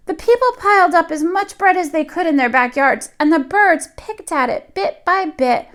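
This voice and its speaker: A woman reading aloud to young children in an expressive storytelling style, with dramatic emphasis. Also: high-pitched. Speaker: children's story reader, teacher.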